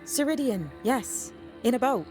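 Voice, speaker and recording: A woman playing a blunt, sly young witch's novice in a video game, wry and teasing in a deadpan way. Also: on the high side, measured pace, soft background music. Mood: breezy. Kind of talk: video game cutscene acting.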